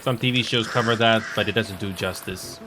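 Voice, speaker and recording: A man's voice: lowish voice, fairly quick, over music. A man born in Finland whose first language is Finnish, chatting casually on a live stream as he plays. He rambles, repeats himself and mutters at the game. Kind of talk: casual live-stream chat while gaming. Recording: noisy background.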